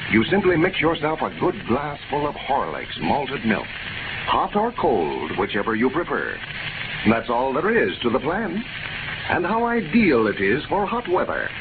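A man with an American accent announcing in 1930s radio style, reading scripted copy in a polished, emphatic way. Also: mid-range voice. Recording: scratchy, tinny 1930s radio broadcast recording.

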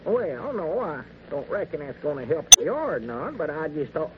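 A man's voice: on the high side, big pitch swings, moderate pace. A man playing a naive, reluctant old country storekeeper with an Arkansas hillbilly accent, querulous and easily muddled, in 1940s radio comedy style. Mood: flustered. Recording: noisy, narrow-band 1940s radio recording.

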